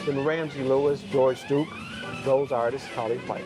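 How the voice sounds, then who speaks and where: A man in his sixties from Buffalo, New York, answering interview questions about his life in music in a reflective, unhurried way. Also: medium pitch, steady tone. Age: 60s. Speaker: guest musician. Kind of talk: interview conversation.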